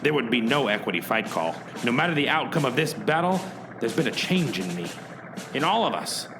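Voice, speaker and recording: A man's voice: medium pitch, big pitch swings, fairly quick, over music. A man playing an earnest, overwrought hero who narrates a radio play. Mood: solemn, earnest. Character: earnest, dramatic young hero and narrator, easily exasperated.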